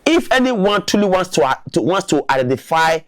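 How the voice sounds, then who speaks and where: A man's voice: high voice, sing-song, moderate pace, no room sound, studio quality. A man giving argumentative opinion commentary, repeating words for emphasis. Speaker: online commentator.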